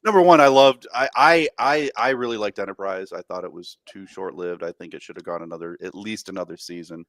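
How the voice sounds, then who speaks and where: A man's voice: low-pitched, brisk, fairly bright tone, no room sound. A man co-hosting a podcast, chatting eagerly with many stammered restarts. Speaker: podcast co-host. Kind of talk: casual chat.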